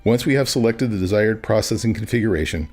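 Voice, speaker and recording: Low-voiced man narrating a scripted tutorial. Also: expressive, fast talker, darkish tone. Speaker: narrator, product demonstrator. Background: background music.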